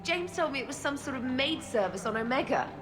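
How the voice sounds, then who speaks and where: A woman's voice: sits high, lively intonation, fairly quick. A woman playing a chatty, wide-eyed and playful young crew member in a video game. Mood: amused wonder. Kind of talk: scripted game dialogue. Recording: music under the voice.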